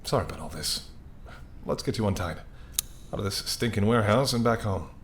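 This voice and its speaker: A man's voice: fairly low pitch, expressive, brisk, slight background noise, natural voice. A man playing an apologetic, wry and courteous male mafia boss in an audio roleplay, speaking conversationally and sardonically straight to the listener. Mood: apologetic, charming. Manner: conversational, sardonic, exasperated, softening into polite charm.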